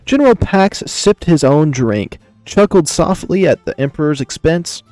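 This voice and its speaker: A man's voice: fairly high pitch, animated, a little slow, darkish tone, no echo, faint music behind. A man narrating a visual novel and commenting on it casually as a gaming video host.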